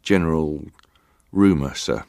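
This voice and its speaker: An actor playing a terse, guarded ship's officer giving formal, respectful, matter-of-fact testimony in a dramatised hearing. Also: male voice, very deep voice, a little slow, vocal fry, dark tone, dry recording, clean studio sound, heavily acted. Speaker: actor reading a witness's testimony.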